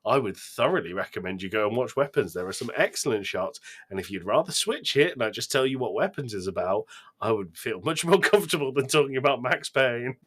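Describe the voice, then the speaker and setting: A man's voice: medium pitch, expressive, a little fast, a bit bright. A man co-hosting a podcast, discussing films casually with emphatic, exasperated delivery. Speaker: podcast co-host.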